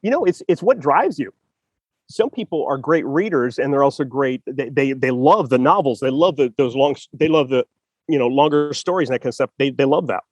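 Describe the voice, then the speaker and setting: A man's voice: mid-range voice, fairly quick, darkish tone, dry recording. A man hosting a casual chat about writing, talking loosely with frequent laughs and restarts. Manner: chatty, laughs often, restarts sentences. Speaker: podcast host.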